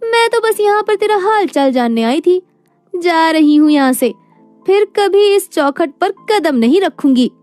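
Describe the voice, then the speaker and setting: Woman acting a haughty high-pitched rich sister. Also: expressive, unhurried, a little mellow, no echo, soft background music. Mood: indignant. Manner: sneering, boastful. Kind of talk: animated moral story dialogue.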